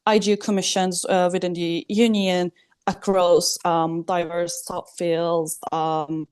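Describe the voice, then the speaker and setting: A young woman in her twenties with a Turkish accent, giving a hesitant online presentation, full of ums, in quick bursts. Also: lowish voice, little pitch movement, darkish tone, clean tone. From Turkey. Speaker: student presenter. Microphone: video call.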